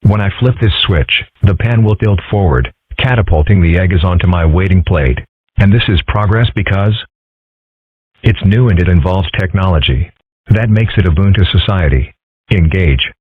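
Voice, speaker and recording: A precocious boy fox, as a man voices him, low and a little husky, delivers every line formally and deadpan. This is a comic-strip dub. The sound is dry and tinny, like a phone recording. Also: normal speed, no room sound. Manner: formal, dry and overly articulate.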